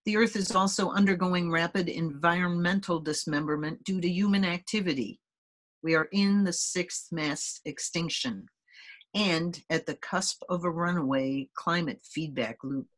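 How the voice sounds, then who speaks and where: A woman hosting a group conversation, gently guiding listeners into meditation and reading aloud. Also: on the low side. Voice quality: soft, gentle, deliberate delivery. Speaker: host leading a group conversation. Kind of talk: calm guided meditation introduction and reading.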